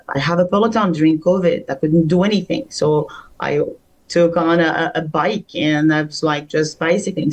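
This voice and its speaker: Businesswoman guest, slightly low voice, lively and rambling. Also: low-quality recording. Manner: energetic, rambling storyteller. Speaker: spirits company CEO, guest. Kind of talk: casual video-call conversation.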